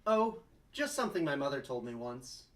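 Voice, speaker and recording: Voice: medium pitch, very animated, moderate pace, natural voice. A young performer playing an earnest, easygoing young male lawyer in a sitcom table read, conversational and easily startled.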